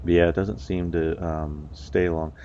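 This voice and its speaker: A man's voice: deep voice, little pitch movement, measured pace, dark tone, lo-fi sound, a little hiss or hum. A man from the US, stuffy and nasal from a cold, chatting in a rambling way with lots of ums as he plays a driving game. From United States. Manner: rambling, with many ums and uhs. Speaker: let's play host. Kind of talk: casual gameplay commentary.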